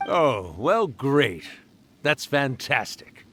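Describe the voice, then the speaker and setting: A man's voice: medium pitch, sing-song, extremely slow, fairly bright tone, a little hiss or hum, full character voice. A man voicing a centuries-old yokai storyteller in a video game, genial and theatrical, with a teasing, wistful manner. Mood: fond farewell.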